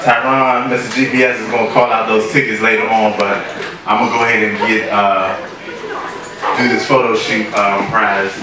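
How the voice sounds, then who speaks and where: A man's voice: on the low side, little pitch movement, normal speed, noisy background. A man making informal announcements at a party, loose and full of fillers. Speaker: event announcer. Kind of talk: event announcements. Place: party venue. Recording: echoey hall from far off with crowd.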